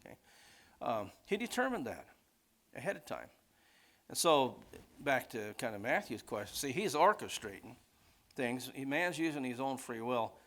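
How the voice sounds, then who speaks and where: A man's voice: mid-range voice, big pitch swings, moderate pace, long pauses, a bit bright. Man giving a rambling, informal sermon. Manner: rambling, conversational, often checking in with "okay?", "see" and "right?". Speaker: preacher teaching a Bible class. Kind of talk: informal teaching sermon, off the cuff.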